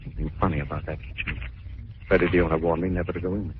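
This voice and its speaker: An actor playing a suave, resolute gentleman crime-fighter in a 1940s radio drama, with clipped, polished speech. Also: low-pitched, fairly even intonation, rapid-fire, close to an everyday voice. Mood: low, confidential. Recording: tinny, noisy 1940s radio recording.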